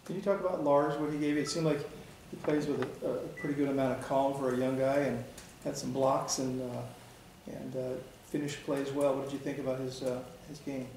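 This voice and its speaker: A man's voice: medium pitch, little pitch movement, brisk, hardly any pauses, darkish tone. Distant male reporter, very echoey. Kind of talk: press conference questions. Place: indoor press room, off camera. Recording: very echoey room, distant mic, faint noise.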